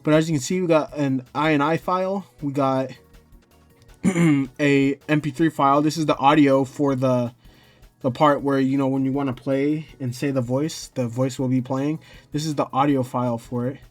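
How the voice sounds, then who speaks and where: A man's voice: mid-range voice, steady tone, moderate pace, soft background music. Casual, hesitant man giving a tutorial. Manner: hesitant, rambling, self-correcting. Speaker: tutorial host. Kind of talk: casual screen-recorded software tutorial.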